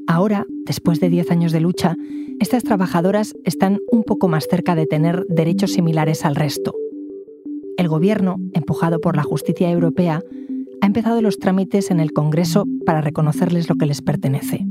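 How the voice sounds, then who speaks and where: A woman hosting a news podcast, reading a measured, clear script over a music bed. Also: on the low side.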